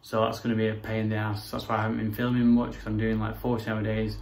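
A man's voice: fairly low pitch, monotone, fast talker, gravelly, darkish tone. A man in his thirties giving an informal, matter-of-fact, rambling walk-through vlog narration on a handheld camera indoors. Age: 30s. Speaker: home renovation vlogger. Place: rooms of a house, indoors. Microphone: handheld camera or phone at arm's length. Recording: noticeable background noise, handheld camera indoors.

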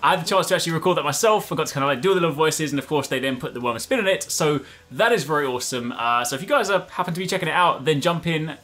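A man in his thirties giving casual, chatty, excitable gameplay commentary, often repeating short phrases. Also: fairly high pitch, rapid-fire, hardly any pauses. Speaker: gaming video host. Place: indoors at a desk, gaming setup.

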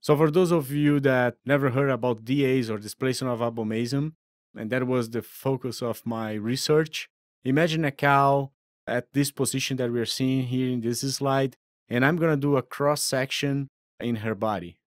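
A man in his thirties from southern Brazil with a Brazilian accent, giving a steady, explanatory veterinary lecture. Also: medium pitch, normal speed, no room sound, studio quality. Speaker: veterinary professor. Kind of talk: recorded lecture narration.

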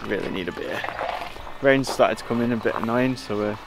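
A man's voice: medium pitch, brisk, background noise. A man, chatting casually to a handheld camera from a campervan and the outdoors. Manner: relaxed, chatty, with frequent "uh" and "um". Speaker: vlogger. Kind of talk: casual travel vlog to camera. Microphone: handheld camera at arm's length.

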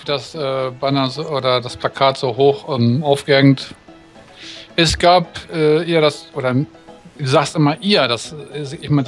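A man chatting casually and helping translate, halting and correcting himself as he goes. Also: fairly high pitch. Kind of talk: casual chat.

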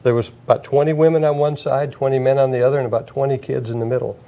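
A man's voice: medium pitch, fairly even intonation, fast, dark tone. An older man hosting a studio interview as a pastor, telling a story earnestly and reflectively. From Washington state, USA. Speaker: pastor host. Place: studio set, indoors. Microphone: lapel mic.

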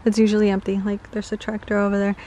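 A woman's voice: medium pitch, monotone, fast talker, warm tone. A young woman in her twenties vlogging casually, chatty and upbeat, talking into a handheld camera as she walks around. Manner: chatty, upbeat, easily delighted. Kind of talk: casual vlog narration. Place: indoor shopping mall and outdoor street. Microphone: handheld compact camera at arm's length. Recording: noisy mall and street ambience.

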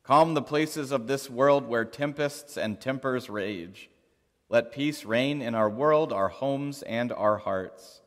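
A man leading a congregation through the creed and prayers with measured, liturgical recitation. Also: mid-range voice. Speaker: worship leader. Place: large church sanctuary. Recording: long church reverb.

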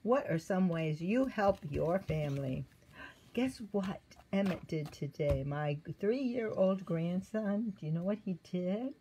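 Mellow older woman reading to children. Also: fairly low pitch, lively intonation, slow pace, slight background noise. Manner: gentle, simple teacherly phrasing with questions to children. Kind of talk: children's devotional story read aloud with questions. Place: indoor room at a table.

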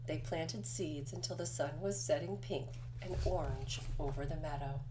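A woman reading a children's picture book aloud as narration, with expressive storytelling delivery. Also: low voice, normal speed, noisy background. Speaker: storybook reader.

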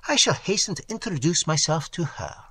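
A reader voicing a vain, self-important French artist man with a French accent, lecturing in a husky voice. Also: medium pitch, animated, moderate pace, sharp tone, no room sound. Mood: boastful.

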